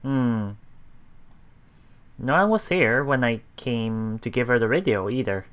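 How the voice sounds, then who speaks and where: A man doing casual let's play commentary, haltingly reading and paraphrasing story text aloud while thinking out loud. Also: mid-range voice, smooth. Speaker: let's player.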